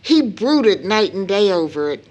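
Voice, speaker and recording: Middle-aged mother for audio drama: grave, anguished, very unhurried, with wide emotional swings in pitch. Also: big pitch swings, a little mellow, low-quality recording. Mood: solemn, intense recounting. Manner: solemn, deliberate, emphatic. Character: grave, anguished mother baring a long-kept family secret. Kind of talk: stage audio drama acting.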